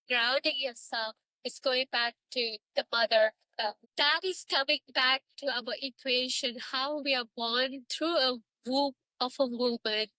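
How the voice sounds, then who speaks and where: A woman hosts a spiritual conversation with a high, bright voice. She is earnest and affirming, phrasing things gently. The recording is close and dry. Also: dry recording, rough recording. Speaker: podcast host.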